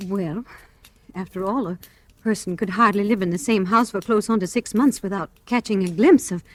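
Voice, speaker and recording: A woman performs a hesitant, earnest landlady in a 1940s radio mystery. Her medium-pitched voice pleads in the period acting style. It is a vintage recording with a dry sound. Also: normal speed, no room sound, lightly acted. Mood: urgent, pleading.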